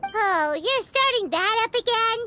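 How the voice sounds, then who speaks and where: Super high squeaky lil fairy girl, excitable and cheeky, anime game, music playing behind. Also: a little slow, full character voice. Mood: envious. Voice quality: squeaky, childlike. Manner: exclaiming, refers to self in third person. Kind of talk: anime-style game voice acting.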